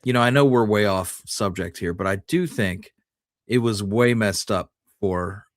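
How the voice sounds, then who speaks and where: A man's voice: lowish voice, unhurried, no echo, studio quality. A man co-hosting a history podcast, chatting casually and offering his own opinions.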